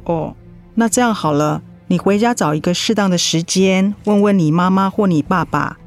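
A woman playing a caring high school homeroom teacher in a radio drama, patient and coaxing, with a Taiwanese Mandarin accent. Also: normal speed, soft background music, lightly acted. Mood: gentle concern. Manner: gentle, coaxing.

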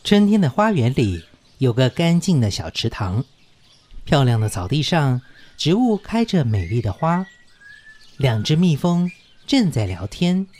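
A man's voice: mid-range voice, big pitch swings, unhurried, darkish tone. A man narrating a scripted children's story.

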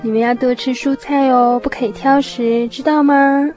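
A woman playing a caring, easily worried young mother of small children in a radio drama, gentle and motherly. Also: sits high, steady tone, moderate pace, natural voice. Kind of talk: radio drama acting with narration. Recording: music behind the voice.

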